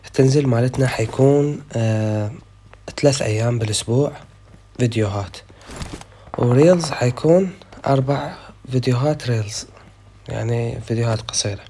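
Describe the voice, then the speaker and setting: A man's voice: mid-range voice, background noise. A young man with an Iraqi Arabic accent, hosting a gaming channel and chatting casually while a little sick. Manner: chatty, loose, thinking aloud. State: slightly sick. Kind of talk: casual gameplay commentary.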